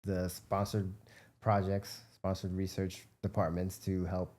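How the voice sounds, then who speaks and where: A man's voice: deep voice, monotone, unhurried, a little mellow. An American man answering interview questions in a casual, rambling, informal way as a guest. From United States, Midwest. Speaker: interview guest, biomedical researcher and entrepreneur.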